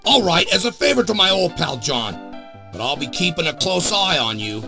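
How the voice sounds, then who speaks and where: An actor playing a gruff, blunt, middle-aged big-city newspaper boss in an adventure game, with music behind him. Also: male voice, a little high, sing-song, moderate pace, bright, forceful tone, exaggerated voice. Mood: skeptical. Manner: blunt, bossy, emphatic. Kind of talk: scripted adventure game acting. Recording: music under the voice.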